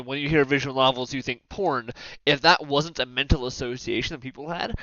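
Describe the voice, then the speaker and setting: A man's voice: medium pitch, a bit bright, no room sound, lo-fi sound. A man hosting a casual panel discussion in a joking, emphatic way, with some swearing. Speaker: podcast host.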